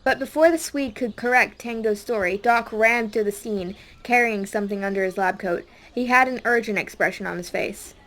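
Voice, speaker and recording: A woman's voice: a little high, moderate pace, hardly any pauses, fairly bright tone. A woman reading a scripted audiobook story as a dramatic narrator.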